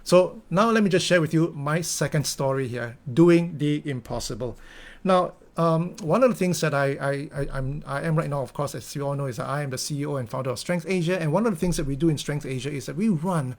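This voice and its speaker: A man's voice: fairly high pitch, a little fast. A middle-aged Singaporean man with a Singaporean English accent, giving an earnest motivational talk full of fillers and restarts. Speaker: company founder giving a talk.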